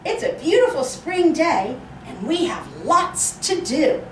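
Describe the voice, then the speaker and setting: Woman reader as a cheerful little girl, sing-song and lively, in a roomy space off-mic. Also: high voice, slow pace, a little hiss or hum, full character voice. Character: cheerful little girl talking to her puppy. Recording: roomy echo, distant mic.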